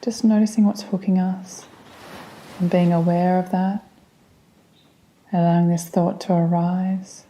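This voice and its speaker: A woman guiding a meditation in a gentle, soothing, unhurried way. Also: lowish voice, little pitch movement, slight background noise, low-quality recording. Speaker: guided meditation instructor. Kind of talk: guided meditation narration.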